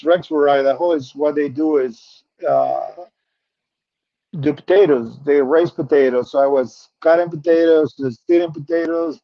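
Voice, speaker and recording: A middle-aged man from Peru with a Peruvian Spanish accent, a native Spanish speaker, earnestly telling his life story as an interview guest, often restarting and repeating words. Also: fairly high pitch. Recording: muffled lo-fi audio.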